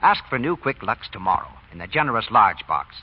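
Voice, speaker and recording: A man's voice: lowish voice, very animated, brisk, husky. A man with an American accent announcing and reading scripted advertising in 1940s radio style. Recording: dull vintage radio recording.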